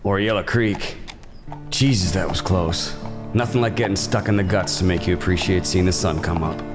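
An actor playing a weary, hardened bounty hunter on the frontier, curt and threatening. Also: male voice, low voice, fairly even intonation, moderate pace, music in the background, lightly acted. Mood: gruff. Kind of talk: western horror audio drama acting.

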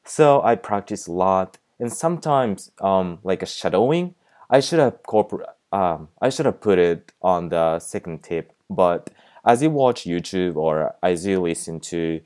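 Japanese-accented guy doing a chill podcast, low clean voice, lots of likes and ums. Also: animated, darkish tone. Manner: halting, searches for words with frequent "like" and "um". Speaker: podcast host. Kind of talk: casual solo podcast tips.

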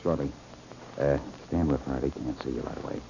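An actor playing a cool, wisecracking American amateur detective in a 1940s radio drama, wry and self-assured. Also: male voice, extremely low pitch, steady tone, brisk, gravelly. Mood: mocking. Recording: noisy, muffled old radio recording.

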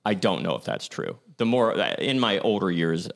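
A young man hosting his own show, speaking in a deep, low register. He rambles through old memories, stopping to correct himself and filling gaps with "like" and "um.". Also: sing-song, moderate pace, creaky. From Ohio, USA. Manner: rambling, self-correcting, lots of "like" and "um". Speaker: podcast host. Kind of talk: casual storytelling monologue.

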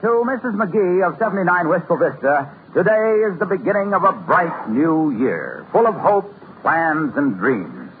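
A man's voice: a little high, sing-song, normal speed. An American man working as a radio announcer, reading scripted copy in a polished 1950s broadcast style. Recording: tinny old radio, faint noise.

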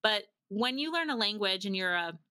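A woman's voice: mid-range voice, animated, normal speed, sharp tone, no echo. A woman speaking as a podcast guest, chatting and telling stories conversationally, acting out bits of dialogue as she explains. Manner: chatty, acts out quoted dialogue. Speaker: podcast guest, language-learning company founder. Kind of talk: animated conversational storytelling and explaining.

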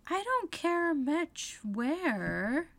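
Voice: high voice, big pitch swings, drawn-out words, gravelly, a bit bright, pitched above the performer's own voice, full character voice. A narrator voicing an earnest, prim and inquisitive young girl in a storybook reading. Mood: polite, inquiring.

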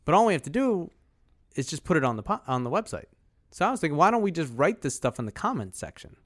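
A man co-hosting a podcast in casual comedic banter, jokingly pitching ideas and mock quotes in loose, half-finished sentences. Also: sing-song, fast talker, a bit bright. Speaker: podcast host.

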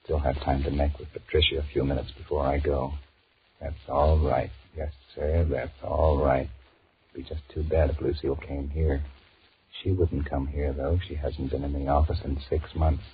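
Very deep, weary American office man. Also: flat delivery, normal speed. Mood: brooding, furtive. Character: weary, henpecked two-timing office man, sullen inner thoughts. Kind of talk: 1940s radio drama acting, inner monologue. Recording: muffled, noisy 1940s radio recording.

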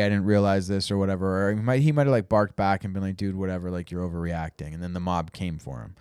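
A man's voice: low-pitched, darkish tone, clear, resonant tone, no echo, studio quality. A man co-hosting a podcast, rambling in casual, profane chat with frequent restarts. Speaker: podcast co-host.

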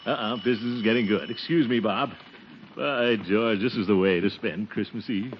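An actor playing a jolly, blustery middle-aged host in 1940s radio comedy, with hearty chuckles and theatrical exclamations. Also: male voice, on the low side, moderate pace, natural voice. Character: jolly, blustery middle-aged man hosting family Christmas. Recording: muffled vintage 1940s radio recording, organ music.

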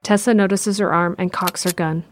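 A woman's voice: lowish voice, fairly even intonation, moderate pace, slight background noise. A woman reading scripted narration for an audio drama. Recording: music behind the voice.

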